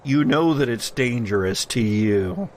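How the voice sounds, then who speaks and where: A man working as game master, narrating a tabletop horror game in a measured, dramatic way with deliberate pauses. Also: mid-range voice, animated, a little hiss or hum.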